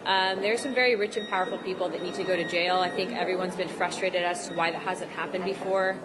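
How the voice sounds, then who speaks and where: A woman politician giving off-the-cuff remarks to the press, halting and repeating words. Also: on the low side, fairly even intonation. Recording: very noisy press gaggle audio.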